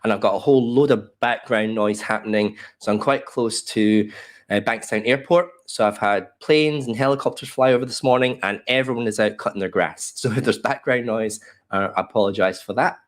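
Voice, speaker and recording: A man in his fifties with an Australian accent presenting a live online workshop from his home office over a webcam call. He sounds a little croaky from a cold and talks in a loose, welcoming way with plenty of fillers. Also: lowish voice, a little fast. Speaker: webinar presenter. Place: home office in a desk chair. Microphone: webcam video call.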